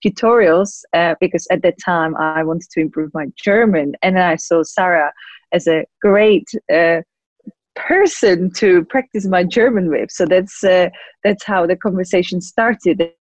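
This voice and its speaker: A young woman with a Czech accent answers interview questions conversationally, often pausing mid-sentence as she goes. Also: on the low side, no room sound. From Czech Republic. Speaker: guest, lawyer and compliance officer.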